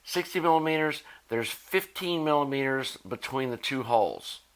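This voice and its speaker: Man, folksy, rambling, casual explainer, bright voice, mid pitch. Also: lively intonation, normal speed. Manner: folksy and rambling, many "you know"s. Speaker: electronics DIY video maker.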